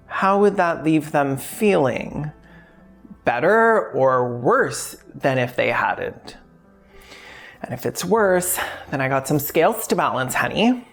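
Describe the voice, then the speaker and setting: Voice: medium pitch, vocal fry. A video essay host talking in a candid, self-deprecating, wryly jokey way, conversational but scripted. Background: background music.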